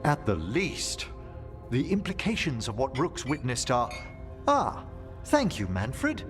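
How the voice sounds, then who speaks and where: A man's voice: medium pitch, huge pitch swings, takes it slowly, music in the background. A man playing a middle-aged scholarly necromancer in a video game, formal, eloquent and polite, proud of his expertise. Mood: eager, gracious.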